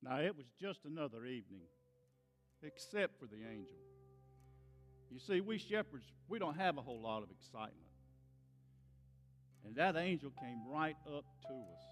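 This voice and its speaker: A humble, awestruck shepherd played by a man tells his story with folksy theatrical flair. His pitch swings widely and his pace is slow and dramatic, with guitar music playing underneath. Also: sing-song, in a large hall. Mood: animated, folksy. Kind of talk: dramatic monologue in a church Christmas play.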